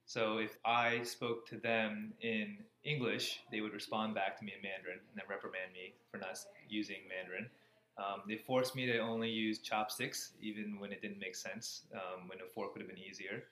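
American man, bright voice in an echoey room. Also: on the low side, fairly even intonation, moderate pace. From Florida, USA. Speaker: interview guest, cardiology fellow. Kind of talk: casual interview answers. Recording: echoey room, faint background noise.

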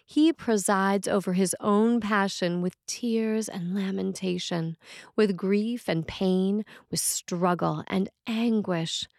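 A woman priest reading a scripted sermon in a measured, deliberate and solemn manner. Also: mid-range voice, darkish tone, no echo, clean studio sound. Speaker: Episcopal priest reading a sermon written by a colleague. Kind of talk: read sermon, scripted narration.

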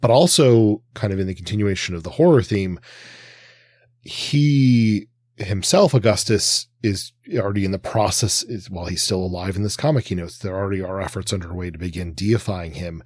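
Low, husky man thinking aloud. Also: a little mellow, dry recording, studio quality. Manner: halting, deliberate, long winding sentences. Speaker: podcast co-host. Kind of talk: literary discussion, analytical.

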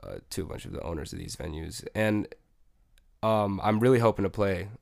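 Gravelly laid-back young man. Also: deep voice, fairly quick, dry recording, clean studio sound. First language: Spanish. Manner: laid-back, many fillers, laughs often. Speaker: music producer and guest. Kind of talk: casual interview chat.

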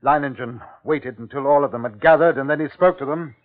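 An actor playing an anxious, earnest commissioner who narrates a 1950s radio drama in a grave, measured, theatrical way. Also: male voice, medium pitch, lightly acted. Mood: grave, ominous. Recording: tinny 1950s radio recording with music.

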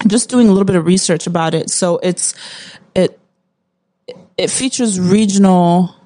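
A woman's voice: fairly low pitch, normal speed, mellow, no echo. Chatty woman, native Spanish speaker. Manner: chatty, upbeat, many fillers. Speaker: co-host reviewing a restaurant. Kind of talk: casual chat.

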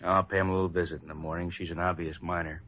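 An actor playing an easygoing American police sergeant with a laconic manner in an old radio drama. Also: male voice, low voice, level tone, racing, close to an everyday voice. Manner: laconic, matter-of-fact. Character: easygoing, soft-hearted city police sergeant. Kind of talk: 1940s-50s American radio drama acting. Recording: tinny, band-limited old radio recording.

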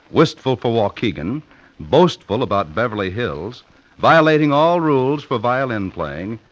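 A man's voice: medium pitch, a bit bright. A man reading scripted announcements in the polished, formal style of a 1950s radio announcer. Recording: muffled old radio recording.

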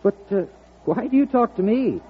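An actor in an old-time radio drama playing an earnest, deferential political delegate who speaks a little hesitantly. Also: male voice, high voice, animated, moderate pace, breathy edge, heavily acted. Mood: puzzled, eager. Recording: muffled old radio broadcast recording.

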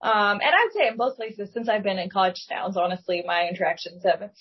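A woman's voice: medium pitch, brisk, husky, dull audio. A woman from Lebanon who speaks English as a second language, talking thoughtfully and carefully in a reflective, conversational interview. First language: not English (English is second language). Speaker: guest.

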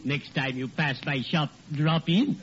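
A mournful, flowery American undertaker, played by a man in old radio comedy. His voice sits fairly high and he speaks formally, drawing out his grim puns. The recording is muffled and very noisy. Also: natural voice. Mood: droll, morbid. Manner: formal, mournful, punning, drawn-out delivery. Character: morbid, genteel, lugubrious male undertaker with flowery speech.

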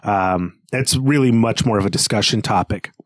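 A man's voice: on the low side, moderate pace, no room sound, clean studio sound. A man co-hosting a literary podcast, explaining things in a thoughtful, tentative way as he feels through ideas. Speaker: podcast co-host.

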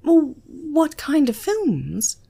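Audiobook role: nervous British male student, read by a woman, hesitant, slow delivery, swooping pitch. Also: wildly swooping pitch, creaky, dark tone, full character voice. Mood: nervous, puzzled. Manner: hesitant, incredulous. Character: anxious, bewildered young male university student.

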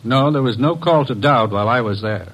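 An actor in an old-time radio drama playing a plain-spoken, defensive farm manager under questioning. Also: male voice, medium pitch, lively intonation, brisk, fairly bright tone, husky. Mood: guarded. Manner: guarded, plain-spoken, indignant when pressed. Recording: slightly noisy vintage radio recording.

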